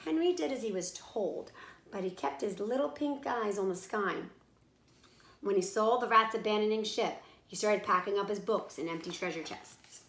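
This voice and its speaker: A woman reading a children's storybook aloud in animated narration. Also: mid-range voice, moderate pace. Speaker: storybook reader. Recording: roomy echo.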